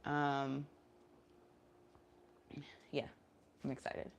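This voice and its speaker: Low-voiced, stuffy-nosed woman vlogging slowly. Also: monotone, gravelly, a little hiss or hum. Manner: low-energy, rambling with many fillers; talking while doing squats. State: stuffy, nasal from a cold. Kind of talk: casual chat vlog, streaming live.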